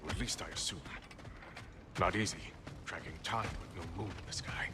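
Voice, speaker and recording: A man's voice: sits low, moderate pace, noisy background, close to an everyday voice. A man playing a proud, stern boar shapeshifter in human form in a video game, speaking in a blunt, formal, dry manner. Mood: businesslike. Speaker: game character, exiled advisor. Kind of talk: video game cinematic acting.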